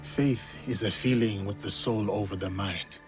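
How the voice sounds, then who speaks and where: An actor playing a principled, reflective samurai in a video game cutscene, speaking in a measured, solemn way. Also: male voice, lowish voice, steady tone, over music, lightly acted. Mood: grave, reflective.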